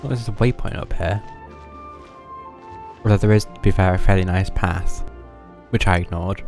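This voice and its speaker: A man giving casual live stream commentary on a video game, with halting, wry reactions. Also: low voice, fairly even intonation, a little mellow. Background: game music.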